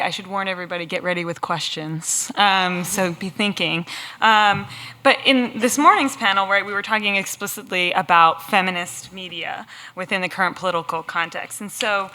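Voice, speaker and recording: Bright woman moderating a panel. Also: mid-range voice.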